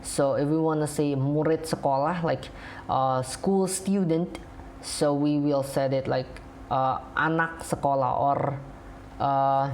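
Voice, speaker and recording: A man's voice: fairly high pitch, a little mellow. A young man with an Indonesian accent talks to camera in English, stopping and restarting often with "uh" and "like" as he explains. The recording carries a faint background hiss. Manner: halting, many fillers and restarts. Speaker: reaction video host.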